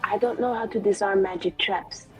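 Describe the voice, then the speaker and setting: A woman's voice: medium pitch, fairly even intonation, normal speed, soft background music, poor audio. A woman chatting casually as a player in a tabletop roleplaying game.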